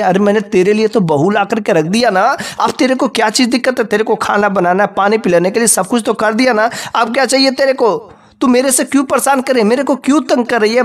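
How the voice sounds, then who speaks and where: A man voicing a selfish, arrogant young husband in a story, scolding and defiant. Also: high voice.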